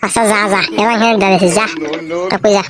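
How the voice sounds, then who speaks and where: A woman's voice: medium pitch, fairly even intonation, quick pace, loud music behind the voice, natural voice. A woman voicing a defiant, foul-mouthed, hot-tempered villager in a comic cartoon, taunting and repeating challenges. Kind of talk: comic cartoon dialogue in rural dialect.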